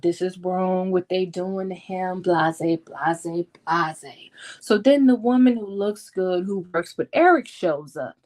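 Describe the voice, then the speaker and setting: A woman's voice: fairly low pitch, slow pace, a little mellow. A woman giving a casual, chatty book recap in a playful, sassy, slangy way, like a vlog host. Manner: sassy and playful, slangy, acting out characters' lines. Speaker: book vlogger hosting a readalong discussion.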